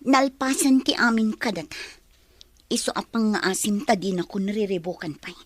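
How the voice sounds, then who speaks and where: A woman's voice: mid-range voice, expressive, normal speed, dry recording, natural voice. A woman acting a sorrowful, pleading young mother in an Ilocano audio drama. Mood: guarded.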